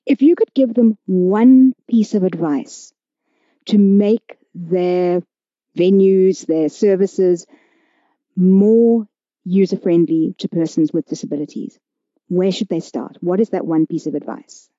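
A woman podcast host with a low, very mellow voice. She interviews in a measured, deliberate way, conversationally. The recording is dry and slightly dull. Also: no room sound. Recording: dull, lo-fi audio.

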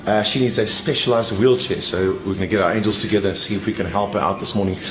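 Quick-talking South African Afrikaans man, echoey. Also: on the low side, fairly even intonation. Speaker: radio morning show host. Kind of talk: casual selfie-video show preview. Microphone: phone at arm's length. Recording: echoey phone video, faint noise.

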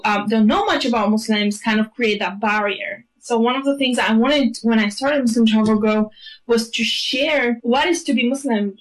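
A woman's voice: fairly high pitch, low-quality recording. A woman in her thirties from Greece and Bulgaria, a travel blogger chatting in a radio interview with frequent fillers and light laughs.

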